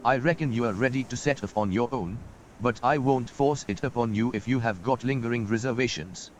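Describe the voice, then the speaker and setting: Actor doing a seasoned old mentor, blunt and folksy, warmly pushing a young hero, over game music. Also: male voice, mid-range voice, fairly quick, lightly acted, poor audio. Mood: encouraging. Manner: folksy, wry, encouraging. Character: older male mentor, gruff and encouraging. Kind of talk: game voice acting. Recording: studio voice with game music behind.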